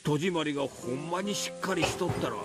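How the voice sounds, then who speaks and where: A man's voice: a little high, rapid-fire, faint music behind, lightly acted. A man playing an insistent middle-aged family man with a Kansai accent in a Japanese visual novel. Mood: insistent, questioning.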